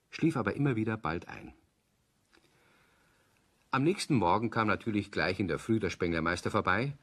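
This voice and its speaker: A man with a husky voice narrating a children's audio play as a scripted storyteller. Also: on the low side, fairly bright tone.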